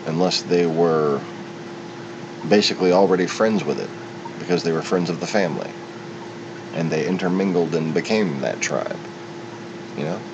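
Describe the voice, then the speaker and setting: A man with a very low voice delivers an off-the-cuff monologue. He is opinionated and wandering, with halting phrases. The recording is muffled, with a loud, steady rumble behind him. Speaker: solo commentator. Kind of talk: unscripted rambling monologue.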